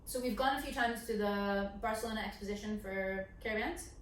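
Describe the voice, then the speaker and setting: A woman's voice: mid-range voice, a little fast, slight background noise. A young American woman co-hosting a vlog in casual, informal chat with a lightly joking manner. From United States. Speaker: co-host of a couple's vlog, content creator. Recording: very echoey room, distant mic, faint music.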